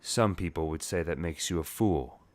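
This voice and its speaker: A man's voice: deep voice, normal speed, voice pushed down, natural voice. A man voicing a pale male vampire in a comic retelling, sardonic and coolly menacing. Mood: sly menace. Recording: music bed behind the voice.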